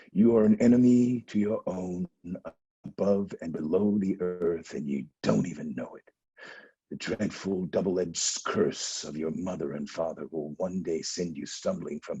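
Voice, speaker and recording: An actor playing a stern, foreboding blind old prophet in a dramatic reading over a video call, with a low, husky, deliberate delivery. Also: male voice, dark tone, full character voice. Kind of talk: Zoom dramatic reading of a Greek tragedy. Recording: dull lo-fi video call audio.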